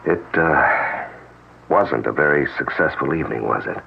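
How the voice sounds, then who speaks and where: A gravelly, genial host husband. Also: male voice, very deep voice, normal speed, slight background noise. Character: hearty, gossiping husband and host. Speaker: radio drama actor. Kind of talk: 1970s radio drama acting. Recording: dull old radio recording.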